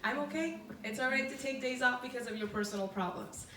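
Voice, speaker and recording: A young woman giving a casual, earnest talk, chatty and quick to laugh. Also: high voice, sharp tone, a little hiss or hum. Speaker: food truck owner speaker. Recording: roomy, distant from the mic, faint background ambience.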